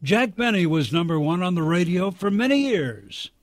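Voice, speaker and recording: A man's voice: sits high, big pitch swings, normal speed, a crowd in the background. A man hosting a radio program, introducing segments in scripted narration. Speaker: radio show host.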